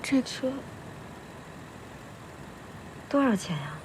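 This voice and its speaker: A woman's voice: mid-range voice, very animated, brisk, natural voice, poor audio. A woman playing a young wife and mother in a naturalistic screen drama, colloquial and plain-spoken. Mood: downcast, skeptical. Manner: terse, questioning, colloquial. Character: downcast, skeptical young wife and doting mother.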